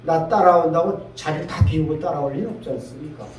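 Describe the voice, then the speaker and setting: Older man from North Korea giving an emphatic audience talk, high-ish voice, far from the mic. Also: fast. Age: 60s. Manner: earnest, emphatic, with frequent fillers. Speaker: guest speaker. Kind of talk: lecture to a seated audience. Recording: echoey room, speaker off-mic with faint noise.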